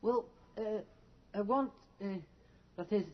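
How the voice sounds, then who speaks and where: A man's voice: sits high, takes it slowly. An actor playing a timid, over-eager young male anatomy student in a radio drama, stammering and gushing. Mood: nervous, hesitant. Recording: dull, lo-fi radio drama recording.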